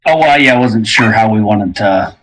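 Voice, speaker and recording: A man with a husky voice talking casually and chattily as a game player on a podcast. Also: fairly low pitch, lively intonation, normal speed, a bit bright, rough recording.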